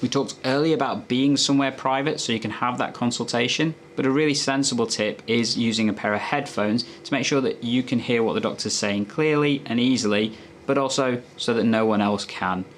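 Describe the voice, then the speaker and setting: A man's voice: mid-range voice, brisk. Male presenter with background noise. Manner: conversational, instructive. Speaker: doctor presenting health tips. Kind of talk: presenter explainer to camera, addressing viewers.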